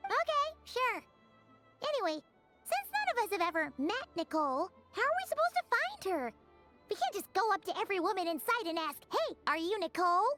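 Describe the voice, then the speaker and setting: A woman voicing a small, childlike fairy companion in a video game, blunt and excitable, full of exclamations. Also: extremely high pitch, a little fast, music in the background. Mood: indignant, sceptical.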